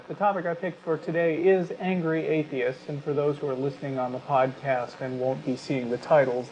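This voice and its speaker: A man co-hosting a talk show, speaking in a deliberate, emphatic, articulate way, whether reading aloud or giving his opinion. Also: a little high, poor audio. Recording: steady background noise.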